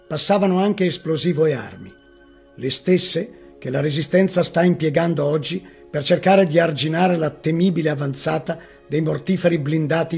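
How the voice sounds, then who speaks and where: Man, fairly high pitch, gravelly husky voice, dramatic memoir reading, faint music behind. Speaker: reader.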